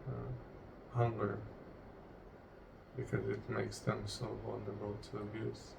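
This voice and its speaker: A man leading a guided meditation in a solemn, deliberate way, speaking in short, broken phrases. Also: lowish voice, level tone. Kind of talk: guided meditation, spoken slowly and reflectively. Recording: distant mic with clear background noise.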